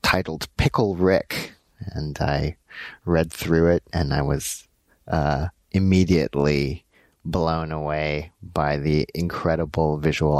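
A man from Los Angeles talks about his work as an animation director in a halting way, with frequent ums and uhs. His voice is very low. The recording is dry, with no room sound. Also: creaky, a little mellow, no room sound. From Los Angeles, United States. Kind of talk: interview answers.

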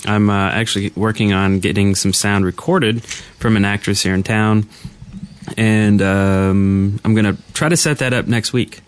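Low-voiced, halting male podcast host. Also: clear, resonant tone. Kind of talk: casual podcast chat. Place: podcast studio.

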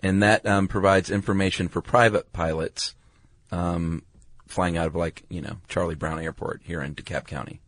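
A man's voice: low-pitched, fairly even intonation, moderate pace, fairly bright tone, no echo. A man with an American accent co-hosting a podcast in casual, laid-back, playful conversation. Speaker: podcast co-host.

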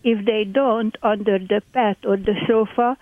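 A woman's voice: medium pitch, steady tone, unhurried, dry recording. A woman in her eighties with a Hungarian accent, chatting as a landlord guest in a radio interview, speaking chatty broken English. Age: 80s. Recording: tinny phone line.

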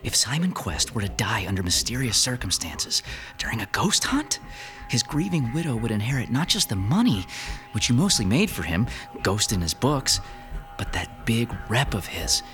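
An actor playing a crude, persuasive lover plotting murder in an audio horror drama. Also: male voice, mid-range voice, big pitch swings, moderate pace, a bit bright, soft background music. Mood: urgent, persuasive.

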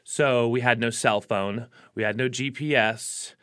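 A man in his forties with a bright, forceful voice talks about life as a working musician. He rambles in a relaxed way, with many fillers and restarts. The pitch sits in the middle. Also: unhurried, smooth. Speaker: touring musician guest. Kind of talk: casual interview talk.

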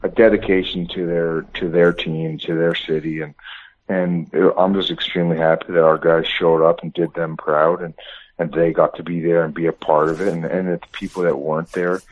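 A man's voice: low-pitched, flat delivery, moderate pace. A man, a hockey coach, being interviewed over the phone, talking in long rambling runs with many restarts. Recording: tinny phone line.

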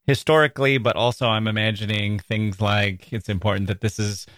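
Man, podcast host, low voice, dry close sound, measured curious questions. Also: fairly even intonation, fairly bright tone, no room sound. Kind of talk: casual interview conversation.

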